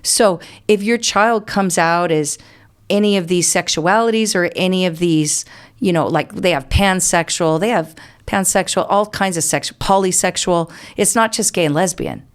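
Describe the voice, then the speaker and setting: A woman's voice: lowish voice, normal speed, clear, resonant tone. A middle-aged American woman answering as an interview guest, explaining things earnestly in a conversational way.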